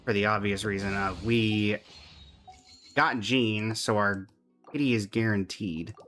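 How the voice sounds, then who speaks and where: A man's voice: on the low side, fairly even intonation, a little slow, a bit bright, faint music behind. A man giving casual, chatty commentary on a game livestream, talking to his chat and humming little filler syllables.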